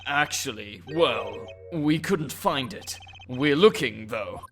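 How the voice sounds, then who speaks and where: A man's voice: medium pitch, animated, slow pace, fairly bright tone, soft background music. A man voicing a haughty, formal young male prosecutor in an amateur game dub. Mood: evasive, flustered. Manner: clipped formal courtroom speech.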